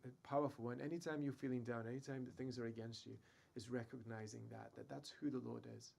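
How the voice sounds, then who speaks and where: A man's voice: medium pitch, steady tone, fast, vocal fry, darkish tone. A man co-hosting a live music show, talking with enthusiasm and often restarting his sentences. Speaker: co-host musician. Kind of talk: casual chat.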